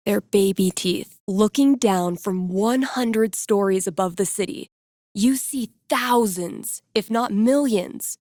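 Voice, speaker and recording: A young woman running a tabletop game in a video game. She tells dark fantasy with theatrical suspense and a portentous air. Her pitch is in the middle, and the sound is dry, free of any room. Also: no echo.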